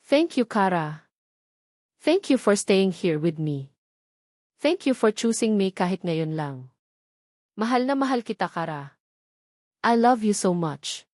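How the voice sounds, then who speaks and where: A woman's voice: mid-range voice, sing-song, takes it slowly, pauses often, darkish tone, no room sound, studio quality. Woman voicing a tender, devoted husband, earnest. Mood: tender, loving. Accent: Filipino.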